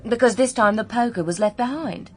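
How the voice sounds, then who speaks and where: A woman's voice: on the high side, fairly quick, darkish tone, breathy edge. An actress playing a spirited, outspoken young woman in a radio drama, with a British accent and an emphatic, frank manner. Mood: frank, uneasy. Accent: British English.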